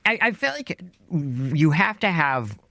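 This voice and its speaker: Podcast interviewer, male, mid-range voice with lively melody, conversational, very dry studio-like sound. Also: on the high side, slow pace, creaky, dry recording.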